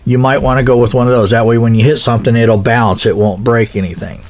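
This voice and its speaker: A man with a medium-pitched voice gives informal, practical instruction. He speaks in a folksy, unscripted way, wandering through his points. His delivery halts often as he backs up and rephrases. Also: fairly even intonation, darkish tone. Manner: rambling, folksy, halting with self-corrections. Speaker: pilot car operator giving equipment advice.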